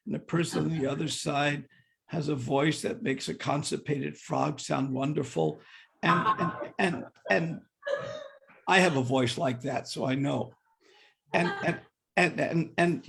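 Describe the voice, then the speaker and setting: A man's voice: on the high side, gravelly. An older man leading a devotional scripture class, mixing humorous personal stories with questions to the audience and often stumbling over repeated words. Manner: many repeated "and, and" restarts. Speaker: class speaker.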